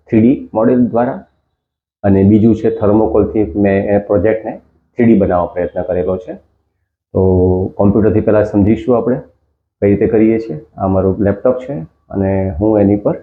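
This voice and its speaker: A man hosting, slowly explaining a project as he demonstrates it on screen. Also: lowish voice. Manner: slow, explanatory, walking through a demo. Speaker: host. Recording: tinny phone-like sound.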